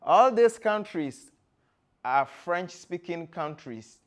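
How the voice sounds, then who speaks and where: A man from Ghana with a Ghanaian accent, giving a presentation in a deliberate, explanatory way, phrase by phrase. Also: high-pitched, animated. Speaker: guest speaker, former tour guide. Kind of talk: presentation to an audience with slides.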